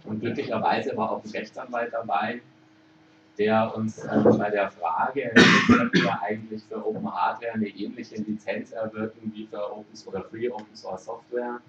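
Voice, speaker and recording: A low-voiced man from Germany gives a relaxed conference talk, thinking aloud and pausing on fillers as he goes. He sounds far from the microphone in an echoey room, and the recording is muffled. Steady noise sits under his voice. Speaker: conference speaker. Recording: echoey hall, distant mic, muffled.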